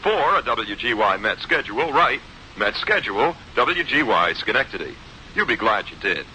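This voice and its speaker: A man's voice: mid-range voice, sing-song, normal speed, sharp tone. A man reading a station promo in 1960s radio announcer style. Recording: slightly noisy 1960s radio recording.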